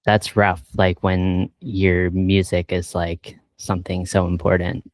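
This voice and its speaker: A man hosting a casual interview, speaking in a relaxed way with lots of filler words. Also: low voice, slow, no echo. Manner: relaxed, filler-heavy, many likes. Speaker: interview host.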